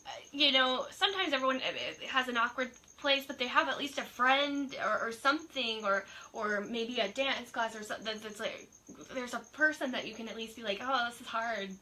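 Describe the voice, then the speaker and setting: A woman's voice: fairly high pitch, fairly quick, pushed and bright, poor audio. A woman hosting a casual interview, encouraging her guest and laughing along. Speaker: talk show host.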